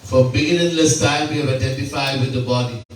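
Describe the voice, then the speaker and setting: A man's voice: medium pitch, steady tone, slight background noise. Man preaching in a very echoey room. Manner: slow, repetitive phrasing with Hindi terms. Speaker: swami giving a discourse. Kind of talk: devotional sermon. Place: home gathering. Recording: very echoey room, mic far off.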